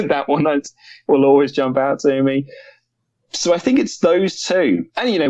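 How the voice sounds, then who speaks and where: A man's voice: medium pitch, a little mellow, dry recording, highs cut off. A man talking casually and chattily as a podcast co-host, sharing opinions. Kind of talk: casual chat.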